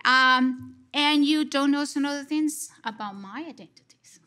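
Colombian lady giving a talk on stage, headset mic, slow and deliberate, kinda high bright voice. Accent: Colombian Spanish. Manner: expressive, gesturing, slow and deliberate. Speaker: lecture respondent, psychology professor. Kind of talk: conference response talk. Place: large dark stage at a lectern.

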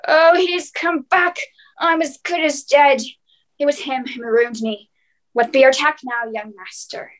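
A high-pitched castaway man, played by a woman in a radio play. He is scrawny and pleading, half-wild from long isolation, and talks in folksy, repetitive sailor phrases. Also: steady tone, unhurried, fairly bright tone, poor audio. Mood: anxious, wary. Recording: remotely recorded home performance.

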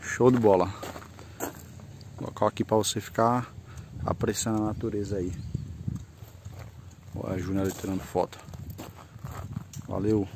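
A man's voice: fairly low pitch, level tone, measured pace, long pauses, noisy background. A man giving a casual, rambling walking tour of a rural campsite, filmed on a handheld camera outdoors. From Northeast Brazil. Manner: chatty, pointing things out, loose and rambling. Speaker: moto travel vlogger. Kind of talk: casual vlog tour narration.

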